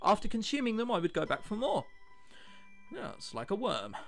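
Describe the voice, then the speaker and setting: A man's voice: high-pitched, huge pitch swings, normal speed, soft background music. A British man hosting a let's play, reading a visual novel aloud and adding chatty, jokey commentary. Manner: jokey, chatty asides between read passages.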